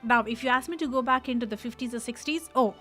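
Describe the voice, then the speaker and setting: A woman hosting a radio show, chatting casually in an animated, emphatic way; her is Telugu. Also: fairly high pitch, soft background music. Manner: animated, emphatic, quick asides. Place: radio studio.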